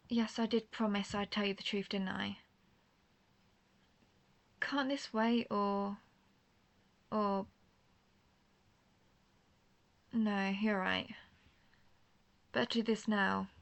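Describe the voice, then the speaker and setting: A woman's voice: mid-range voice, gravelly. A woman playing an intense, guarded vampire hunter, herself a vampire, in a scripted roleplay audio drama, speaking earnestly and formally straight to the listener. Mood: hesitant, hungry. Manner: earnest, formal phrasing, addressing the listener directly.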